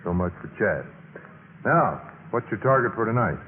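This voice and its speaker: An actor playing a wry, easygoing young American college student in a 1950s radio drama. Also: male voice, on the low side, sing-song, normal speed. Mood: wry, coaxing. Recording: muffled, reverberant, hissy old radio recording.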